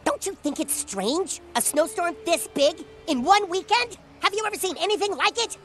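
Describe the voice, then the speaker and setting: A man voicing an indignant, sharp-tongued boy who argues back and curses. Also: very high voice, animated, normal speed, heavily acted. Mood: earnest. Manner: exasperated, cursing retorts. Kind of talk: cartoon game cutscene acting.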